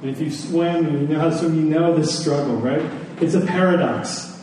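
In a very echoey room, heard from a distance, a male pastor preaches in a mellow, fairly high voice. He leans on repeated phrases for emphasis and slips into conversational questions to the congregation. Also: normal speed. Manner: conversational asides and rhetorical questions. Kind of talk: church sermon. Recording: echoey room, distant mic, faint noise.

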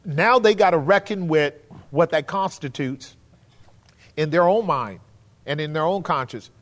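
A man's voice: a little high, unhurried, fairly bright tone. A man leading a church Bible study, teaching in an earnest, emphatic preacherly way.

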